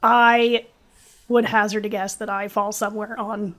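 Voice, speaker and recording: A woman hosting a podcast, listening closely and chatting in a relaxed, conversational way. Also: medium pitch, measured pace. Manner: attentive, frequent "mm-hmm", laughs along. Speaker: podcast host. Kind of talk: casual interview chat.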